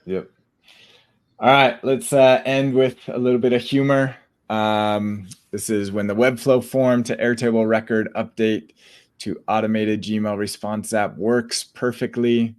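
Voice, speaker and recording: A man hosting a tech podcast, giving upbeat shout-outs in a relaxed, casual way with fillers. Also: fairly low pitch, a little slow, smooth. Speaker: podcast host.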